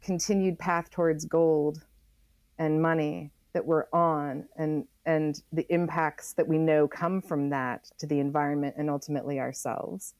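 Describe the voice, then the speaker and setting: Interview guest: American woman, low pitch, emphatic and conversational, thinking aloud, very dry sound. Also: fairly even intonation, a little slow, darkish tone, no room sound. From Oregon, United States. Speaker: interview guest, author and geologist. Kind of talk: reflective conversational interview explanation.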